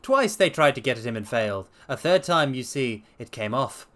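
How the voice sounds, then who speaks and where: A man voicing a brisk, confident, eloquent detective in a dramatic story reading, explaining with emphatic precision. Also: mid-range voice, animated, fairly bright tone, lightly acted. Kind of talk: dramatic audiobook-style character reading.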